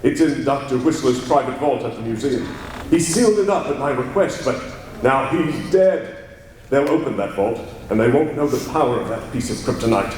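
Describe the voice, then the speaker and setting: A man's voice: medium pitch, expressive, normal speed, darkish tone, lightly acted. A man playing an anxious, evasive reporter with a secret identity, stammering in a 1940s radio serial style. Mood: troubled. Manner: stammering, agitated. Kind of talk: 1940s radio serial acting performed live. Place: large live convention hall. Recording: echoey hall, distant mic, faint noise.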